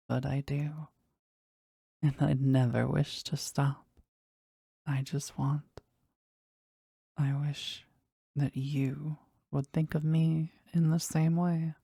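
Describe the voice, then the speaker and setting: Slow, husky, gravelly demon lover, voiced by an actor. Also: mid-range voice, warm tone, dry recording, studio quality, full character voice. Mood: earnest, yearning. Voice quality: husky, breathy, often dropping to a whisper. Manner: formal, slow, tender, halting with emotion. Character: powerful demon bound to a sorcerer, devoted, lovestruck, formal. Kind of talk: intimate romantic audio roleplay.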